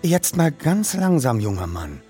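A man's voice: on the high side, sing-song, unhurried, mellow, soft background music. A man voicing a guarded, protective mother of about forty in an audiobook reading. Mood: skeptical, stern. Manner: stern, hesitant, guarded.

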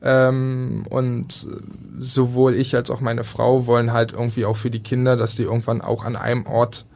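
A man's voice: mid-range voice, monotone, moderate pace, creaky, darkish tone. A man from Berlin in his thirties, a handball goalkeeper answering interview questions in a hesitant way, with many fillers and restarts. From Berlin, Germany.

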